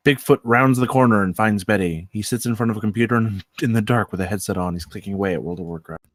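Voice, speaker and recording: A man's voice: lowish voice, fast talker, dry recording. A man reading stage directions as scripted narration at a comedy screenplay table read.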